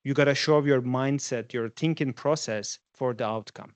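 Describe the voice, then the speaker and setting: A man's voice: mid-range voice, moderate pace, dry recording. A man in his forties from Turkey, a native Turkish speaker, giving a conversational guest lecture to students and asking them questions. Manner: conversational, asks the audience questions.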